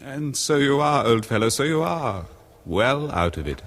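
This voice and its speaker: Shadowy genteel older stranger. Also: male voice, medium pitch, sing-song, normal speed, a bit bright. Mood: quietly insinuating. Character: shadowy middle-aged or older stranger in pince-nez. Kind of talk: 1970s radio horror drama acting.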